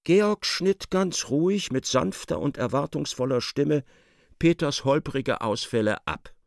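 A man acting as the sardonic narrator of a literary radio play, articulate and expressive. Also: a little high, unhurried, gravelly, no echo, studio quality. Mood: measured. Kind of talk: dramatised scripted narration.

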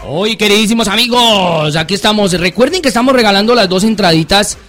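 High-voiced man hosting a kids' call-in show. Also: brisk, soft background music. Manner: warm, doting, exclamatory talk to children. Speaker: host of a children's program.

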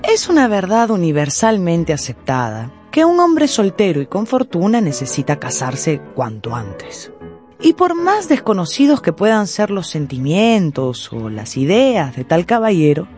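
A woman's voice: on the low side, wildly swooping pitch, a little slow, a little mellow, soft background music. A woman playing a genteel, wry small-town storyteller who narrates a radio drama.

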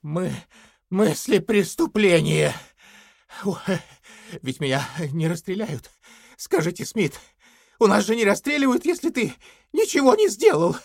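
An actor playing a simple, frightened, eager party loyalist in an audio drama, stammering and pleading naively. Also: male voice, sits high, big pitch swings, a little slow, creaky, pauses often, dry recording, clean studio sound, exaggerated voice. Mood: pleading, anxious.

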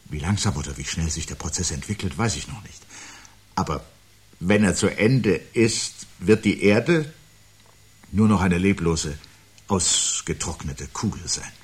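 An actor playing a brooding, anxious man who prophesies the end of life, speaking gravely and deliberately with a husky voice in a 1970s radio play. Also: low-pitched, animated, exaggerated voice. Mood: grave foreboding. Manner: grave, deliberate, articulate, philosophical monologuing.

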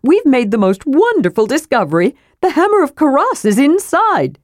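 A woman voicing an excitable, cheeky, childlike male kender adventurer who chatters eagerly in a fantasy audiobook. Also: high-pitched, moderate pace, dry recording, studio quality, voice pushed up. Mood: eager, excited.

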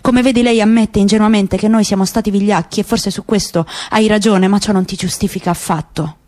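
A woman's voice: medium pitch, steady tone, brisk, a little mellow, close to an everyday voice. A woman voicing a weary, grieving middle-aged father in a literary radio reading, speaking in a grave, searching way. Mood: calm, firm.

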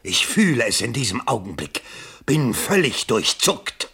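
A pompous, excitable bourgeois politician in a theatrical radio play. The voice is bright and forceful, swooping widely in pitch. He draws his words out slowly and declaims with self-dramatizing exclamation. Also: gravelly, breathy edge, exaggerated voice. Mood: ecstatic pleading. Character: pompous, agitated bourgeois election candidate, husband and father.

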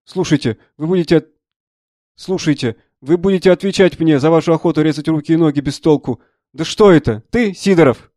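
A man's voice: a little high, a little fast, warm tone, dry recording, clean studio sound, pitched above the performer's own voice, lightly acted. A man acting an emphatic, commanding colonel. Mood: angry, stern. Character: indignant male colonel, stern yet compassionate toward his soldiers. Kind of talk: dramatised audiobook character voice.

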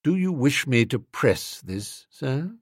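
A man playing an unruffled, deferential English manservant in a storybook, quiet, measured and formal, with a British accent. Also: mid-range voice, very animated, husky, no echo, clean studio sound, full character voice. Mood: calm, deferential.

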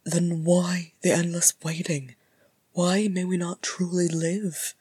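A woman narrator voicing a weary, stubborn male dwarf king, sullen and croaky, in a storybook. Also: sits high, fairly even intonation, takes it slowly, a little mellow, heavily acted. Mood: gloomy, sullen. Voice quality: croaky, mumbling.

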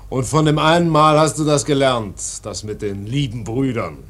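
A man acts a ship's mate in a radio drama. He is bossy and fussy, with a gruff, commanding way of talking and a mocking, teasing edge. His pitch sits in the middle of the male range. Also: normal speed, fairly bright tone, lightly acted. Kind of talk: German radio play acting.

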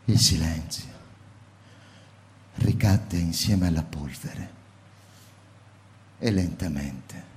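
A shaken bomb survivor. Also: male voice, low-pitched. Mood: hushed. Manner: urgent, near-shouted remembering, then hushed and slow. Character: shaken male survivor of a city bombing. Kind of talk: radio drama narration. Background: music.

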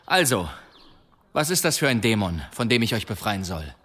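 A man's voice: lowish voice, big pitch swings, normal speed, fairly bright tone. An actor playing a boastful medieval demon hunter, telling his tales in a courtly, suspenseful manner in a German radio drama. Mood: proud, courteous. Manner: theatrical, suspenseful, courtly delivery.

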